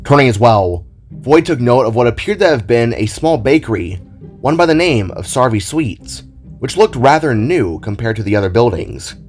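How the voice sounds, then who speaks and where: Guy narrating an audio drama, mid pitch voice, really lively up and down, music underneath. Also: moderate pace.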